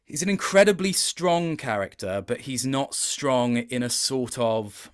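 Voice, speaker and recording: A man's voice: mid-range voice, a little slow, clean tone. A man talking as a voice actor guest in a casual interview, telling anecdotes in a hesitant, rambling, appreciative way.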